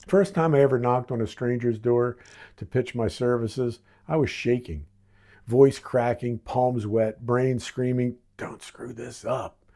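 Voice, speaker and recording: An American man in his sixties narrates a memoir in a warm, dark-toned voice at a medium pitch. His delivery is wry and theatrical, built on short, punchy phrases. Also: steady tone, measured pace. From Baltimore, United States. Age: 60s. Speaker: author reading own memoir.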